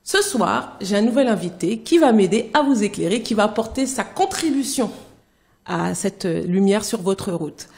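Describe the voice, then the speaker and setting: A woman hosting a talk show, introducing her guest and asking him questions in a lively way. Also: mid-range voice, moderate pace, vocal fry, roomy sound. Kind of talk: hosting an interview.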